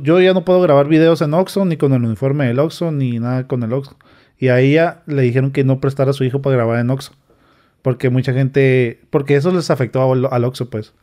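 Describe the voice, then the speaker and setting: A young man, telling a story as a podcast guest, animated, acting out quoted comments in a raised voice. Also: medium pitch. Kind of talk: casual storytelling. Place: small studio with a sofa. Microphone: studio mic on a boom arm, wearing headphones.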